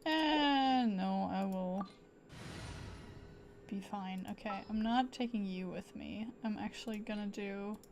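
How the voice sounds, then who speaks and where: Slow-paced, creaky-voiced woman streaming. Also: mid-range voice, darkish tone, a little hiss or hum. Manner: thinking aloud in short, playful fragments. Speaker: game streamer. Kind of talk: casual live gameplay commentary.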